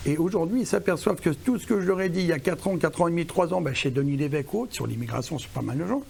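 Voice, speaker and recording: A man's voice: fairly high pitch, animated, fast, vocal fry, darkish tone. A man answering as an election candidate in an interview, emphatic and insistent.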